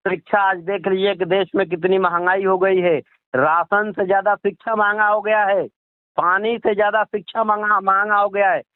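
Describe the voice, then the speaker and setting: High-pitched indignant man on muffled phone line. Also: fairly even intonation, normal speed, no echo. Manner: indignant, repetitive, rhetorical questioning. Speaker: political activist being interviewed. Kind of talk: heated political tirade in a phone interview. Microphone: phone call. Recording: muffled lo-fi phone call recording.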